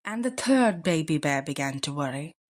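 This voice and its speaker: A woman reading a children's bedtime story aloud with deliberate, expressive phrasing. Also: low-pitched.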